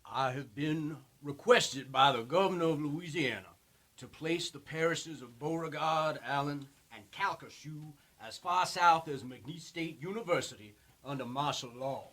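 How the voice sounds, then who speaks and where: A man's voice: fairly high pitch, a little slow, fairly bright tone. A man playing a stern, commanding army general making a formal proclamation in a radio play. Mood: stern, official. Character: stern, authoritative male army general declaring martial law.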